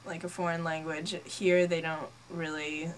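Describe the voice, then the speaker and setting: Low-voiced young Norwegian woman, noisy recording. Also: flat delivery, measured pace. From Norway. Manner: casual, frequent "like" as filler. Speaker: interviewee. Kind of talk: informal interview answers.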